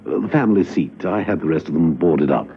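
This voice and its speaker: An actor playing a suave, nonchalant gentleman spy in a radio drama, urbane and drily witty. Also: extremely low pitch, expressive. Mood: wry, probing. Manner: urbane, wry, unhurried interjections. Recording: dull, noisy vintage radio recording.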